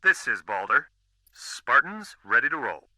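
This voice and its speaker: An actor playing a field unit commander reporting in formally and forcefully over military radio in a video game. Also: male voice, mid-range voice, sing-song, a little slow, no room sound, exaggerated voice, rough recording. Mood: assured.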